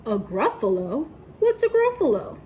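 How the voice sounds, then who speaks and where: A woman acts out a sly and skittish storybook fox. The voice is high and leaps wildly in pitch, with exaggerated delivery. Faint noise sits behind it. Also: huge pitch swings, measured pace, mellow, full character voice. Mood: coaxing. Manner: exaggerated, swooping questions. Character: sly, hungry storybook fox, easily frightened.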